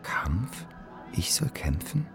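An actor playing a hesitant young poet, new to a group, asking terse questions in a radio drama. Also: male voice, low-pitched, very animated, slow pace, heavy reverb, whispered, far from the mic, music in the background, full character voice. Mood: wary, puzzled. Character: hesitant, reticent young male newcomer, a poet.

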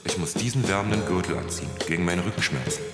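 A man's voice: low-pitched, expressive, fast talker. A man playing a meek, put-upon ghostly husband in a German radio play, with music behind him. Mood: meek, dutiful. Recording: long hall reverb over clear music.

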